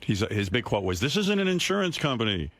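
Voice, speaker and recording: A man's voice: medium pitch, sing-song, brisk, a bit bright, dry recording. A man hosting a radio show, telling a story in a wry way and playing clips. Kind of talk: casual chat.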